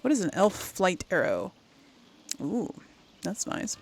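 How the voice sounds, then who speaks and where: A woman's voice: on the low side, big pitch swings, slow, a little hiss or hum. A woman giving casual commentary as she plays a game, thinking aloud in a musing way. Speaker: gamer, series host.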